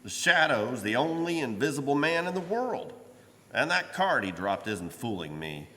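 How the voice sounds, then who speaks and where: Police commissioner male actor, radio drama: blustery, impatient, stubborn, bright hard-edged voice, live hall reverb. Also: mid-range voice, very animated, normal speed. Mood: irritated, insistent. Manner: blustery, brusque. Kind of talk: old-time radio drama acting.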